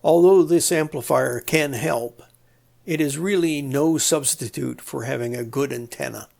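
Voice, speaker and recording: Male hobbyist presenter, unhurried and slightly gravelly, plain-spoken, walking step by step through technical work. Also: mid-range voice, a little mellow. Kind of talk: explanatory DIY electronics narration.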